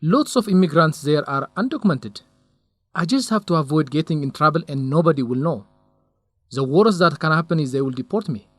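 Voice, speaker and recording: A man's voice: on the high side, lively intonation, fairly quick, dry recording. An actor playing a young male researcher in a radio drama, lovesick and stubborn, speaking in an earnest, defensive way.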